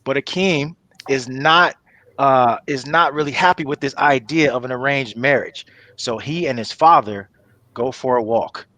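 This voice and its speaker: A man's voice: mid-range voice, unhurried, pushed and bright, no room sound. A man reading stage directions as narrator of a comedy table read.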